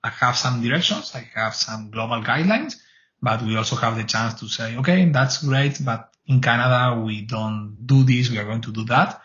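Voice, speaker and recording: A man's voice: mid-range voice, normal speed, a bit bright, dull audio. A middle-aged man from Argentina with an Argentine Spanish accent, speaking English as a second language. He answers interview questions earnestly, with many restarts. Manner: earnest, rambling, frequent restarts. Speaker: guest, cloud advocate.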